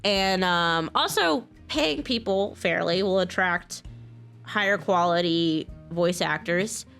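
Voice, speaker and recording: An American woman talking casually and candidly, unscripted, in an informal and halting way, like a creator speaking to her audience. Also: on the low side, gravelly, a bit bright, soft background music. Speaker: video creator and mod developer. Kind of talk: casual, unscripted talk to camera.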